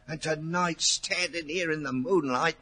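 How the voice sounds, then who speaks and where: An actor playing a timid, superstitious stable hand with nervous rustic speech, in a noisy 1940s radio drama. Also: male voice, fairly high pitch, unhurried, lightly acted. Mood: fearful.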